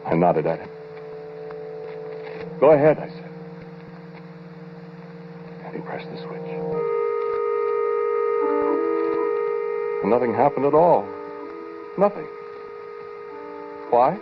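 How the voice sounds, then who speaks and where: An American actor playing a shaken, brooding inventor who tells his story hesitantly and deliberately in 1940s radio drama style. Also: medium pitch, very animated. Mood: grim, determined. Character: shaken, brooding male inventor recounting his time-machine experiment. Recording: muffled, noisy 1940s radio recording.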